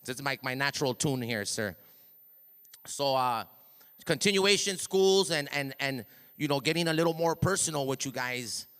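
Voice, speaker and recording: A loud middle-aged man from East Los Angeles speaks to students as a hype man, his voice resonant and clear. He repeats and restarts words as he goes, talking into a handheld mic. The long reverb of a big school hall hangs behind every word. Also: medium pitch, moderate pace. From East Los Angeles, USA. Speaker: ring announcer speaking at a school assembly. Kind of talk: motivational speech to students. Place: school auditorium.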